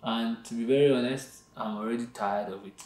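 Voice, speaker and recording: A man's voice: medium pitch, fairly even intonation, brisk, a little mellow, echoey room, slight background noise. A young Nigerian man with a Nigerian accent, chatting playfully and dramatically on a couple's vlog and mixing in Yoruba phrases. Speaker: vlog co-host. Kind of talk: casual chat.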